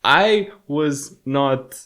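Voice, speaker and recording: A man's voice: fairly high pitch, expressive, drawn-out words, a bit bright. Young man telling casual anecdotes. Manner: joking, self-mocking.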